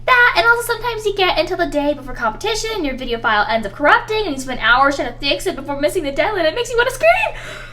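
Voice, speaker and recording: A woman playing an eager, friendly student who loves cars in a radio drama, excitable, chatty and earnest. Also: very high-pitched, fast talker, hardly any pauses, heavily acted. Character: eager, friendly female student, keen car enthusiast.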